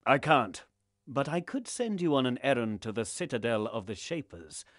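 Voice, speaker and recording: An actor playing a solemn, commanding lord in a fantasy game, speaking in formal, measured courtly phrases. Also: male voice, mid-range voice, big pitch swings, fairly bright tone, no room sound, studio quality. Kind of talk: fantasy game cutscene acting.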